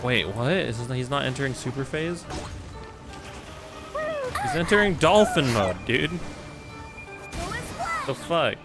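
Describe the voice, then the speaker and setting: A man's voice: medium pitch, lively intonation, takes it slowly, pauses often, music in the background. A man giving casual, profane gameplay commentary as he streams, often repeating short phrases. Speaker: video game streamer.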